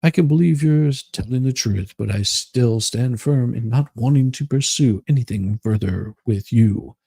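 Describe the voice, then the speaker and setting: A man playing a stiff, earnest, non-committal man in a dramatic reading, husky and low-toned, with a measured, solemn delivery. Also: dark tone, no room sound. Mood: apologetic, firm.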